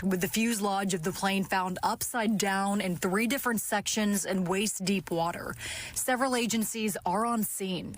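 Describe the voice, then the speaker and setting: A woman with an American accent giving a live news report as a TV field reporter outdoors. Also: medium pitch. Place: outdoors near an airport. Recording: clear outdoor ambient vehicle noise.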